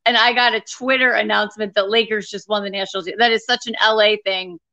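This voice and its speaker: A woman's voice: mid-range voice, fairly even intonation, sharp tone. A woman comedian from Detroit with a Midwestern accent, joking chattily as a guest on a video call from home. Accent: Midwestern American. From Michigan, Detroit. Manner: chatty, quick joking asides. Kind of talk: casual chat. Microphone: video call laptop mic. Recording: dull video call audio.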